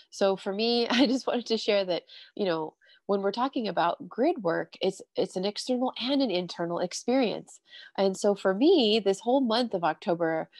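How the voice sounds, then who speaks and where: A woman's voice: fairly low pitch, dry recording. A woman hosting a casual spiritual chat over a video call, a little stuffy from allergies, breezy and upbeat, often saying "you know" and "if you will". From California. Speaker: host.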